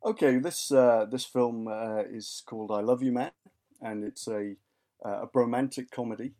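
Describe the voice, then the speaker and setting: A man from West Wales, speaking at an ordinary middle pitch as an interview guest. He talks in loose, casual bursts and keeps stopping to restart his sentences, with plenty of fillers in between. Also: fairly even intonation, normal speed, warm tone. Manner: hesitant, many restarts and fillers. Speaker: guest academic.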